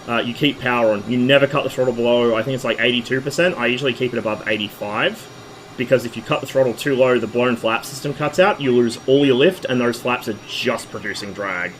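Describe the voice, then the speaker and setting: A man streaming a flight simulator, explaining how to fly in a relaxed way with hesitant fillers, his voice hoarse from a recent flu. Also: medium pitch, fast talker, slight background noise, low-quality recording. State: losing his voice after a flu. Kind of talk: casual flight-sim commentary.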